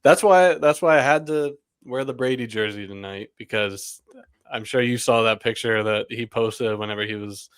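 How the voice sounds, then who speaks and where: Young man hosting a sports podcast, clear and dry. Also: medium pitch, normal speed, a bit bright, dry recording. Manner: rambling, lots of "like" fillers, laughs often. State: stuffy, under the weather. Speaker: podcast host. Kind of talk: casual sports podcast chat.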